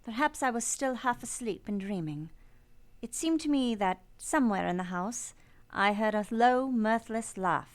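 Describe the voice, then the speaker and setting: An actress playing a composed, earnest young governess in a radio play, speaking in a measured, formal storytelling way. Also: female voice, fairly high pitch, animated, close to an everyday voice. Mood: reflective, measured. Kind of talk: first-person narration and dialogue in radio play.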